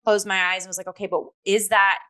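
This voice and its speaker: A young adult woman hosting a casual review chat in an enthusiastic, expressive and emphatic way. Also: medium pitch, fast. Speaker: host and theatre reviewer.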